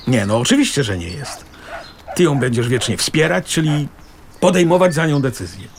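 A man's voice: mid-range voice, sing-song, moderate pace, slight background noise. An actor playing an exasperated middle-aged husband and father in a naturalistic radio drama, reasoning and reproachful, with pointed, hesitant phrasing. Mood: frustrated, reasoning.

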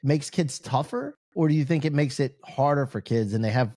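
A male podcast host with a dark, mellow tone asks long, rambling questions. His manner is jokey and casual. His pitch sits in the middle range for a man. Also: brisk, breathy edge, dry recording, studio quality. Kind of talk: casual interview chat.

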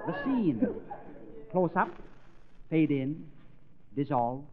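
An actor playing an absurd, excitable, secretive film producer, announcing grandly and barking orders in 1930s radio comedy style. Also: male voice, fairly high pitch, extremely slow, a faint crowd, exaggerated voice. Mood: grandiose. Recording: dull noisy old radio recording before audience.

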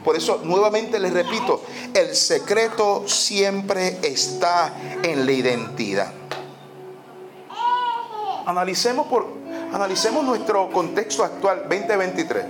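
A high-pitched man preaching, pressing his points by repeating phrases. He slips English "so" into his sentences. Soft music plays faintly behind him in the church. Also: expressive, unhurried, long pauses. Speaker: pastor. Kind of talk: sermon. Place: church sanctuary.

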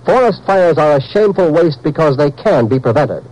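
American male announcer, vintage public service spot, highish voice, big emphatic swings, solemnly earnest. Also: expressive, normal speed, a little mellow, rough recording. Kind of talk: scripted 1950s radio public service message.

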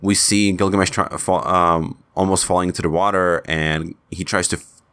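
Deep-voiced man. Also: level tone, normal speed, a bit bright, clear, resonant tone. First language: Czech. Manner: rambling, searches for words, frequent restarts. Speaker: video game commentator. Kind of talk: casual game playthrough recap.

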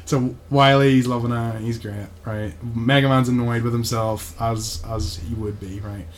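A man's voice: mid-range voice, level tone, unhurried. Sweary man recapping, with noise behind him. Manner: sweary, mocking, tags sentences with "right?". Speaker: podcast co-host recapping a cartoon episode. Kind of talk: casual comedic episode recap chat.

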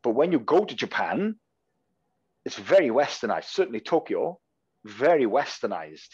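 Welsh man, middle pitch, fast clipped talk, lo-fi dull audio. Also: very animated, a little mellow, slightly rough. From Wales. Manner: quick, clipped speech, swears freely. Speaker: podcast co-host. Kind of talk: casual chat. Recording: slightly dull lo-fi sound.

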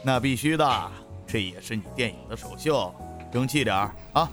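Film director man acting, medium-pitched, jovial and bossy, audio drama with clear music behind. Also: expressive, normal speed, lightly acted. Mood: brisk, in charge. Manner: casually bossy. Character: brisk, jovial male film director in charge.

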